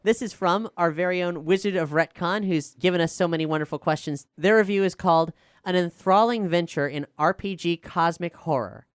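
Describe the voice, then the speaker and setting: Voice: mid-range voice, a little fast, smooth, dry recording, clean studio sound. A podcast host talking earnestly and articulately in a question-and-answer discussion.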